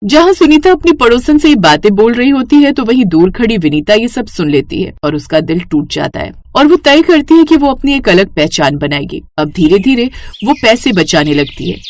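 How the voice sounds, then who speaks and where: A woman's voice: fairly high pitch, big pitch swings, moderate pace, a little mellow, dry recording. A woman reading scripted story narration as the narrator, with faint noise in the recording.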